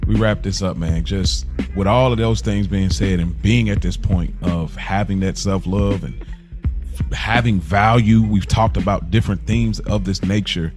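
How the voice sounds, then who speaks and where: An American man co-hosting a podcast, speaking casually in a halting, reflective, conversational way. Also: sits low. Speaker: podcast co-host. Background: music under the voice.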